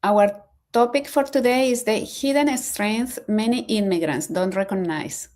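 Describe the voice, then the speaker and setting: A woman's voice: medium pitch, measured pace, a little mellow. A woman from Colombia, a panelist, speaking hesitantly with "eh" fillers.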